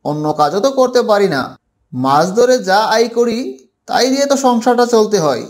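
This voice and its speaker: A man's voice: high-pitched, sing-song, normal speed, voice pushed up. A man voicing an eager, practical village fisherman in a cartoon fable, chivvying and repeating himself. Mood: resigned. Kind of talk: Bengali cartoon fable character dialogue.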